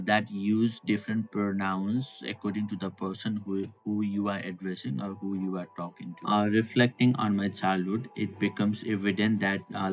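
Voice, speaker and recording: Low-voiced man. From Nepal. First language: Nepali. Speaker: podcast guest. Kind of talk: reflective personal storytelling. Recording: dull lo-fi audio with faint background music.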